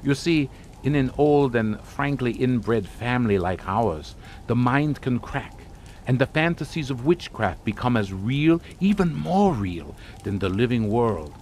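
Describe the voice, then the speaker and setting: An actor playing a suave, sinister aristocratic count in a radio suspense drama, speaking in a formal, courtly, deliberate way. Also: male voice, medium pitch, lively intonation, a little mellow, slight background noise. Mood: ominous, measured.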